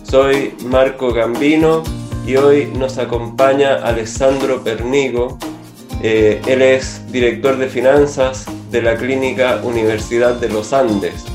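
A man's voice: mid-range voice, fairly even intonation, slow pace. Man hosting a radio interview, roomy studio sound, faint guitar music behind. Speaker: radio host.

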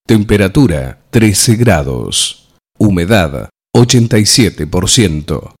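A man reading a station identification and time-and-weather announcement in a measured way. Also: sits low, animated, husky, dry recording, studio quality. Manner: slow, measured delivery. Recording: loud music bed under voice.

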